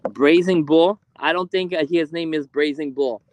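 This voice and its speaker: A man hosting a live ghost hunt in a cemetery at night, speaking haltingly with many fillers as he calls out to spirits. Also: fairly high pitch, no room sound. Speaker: ghost hunter streaming live. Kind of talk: live paranormal investigation stream.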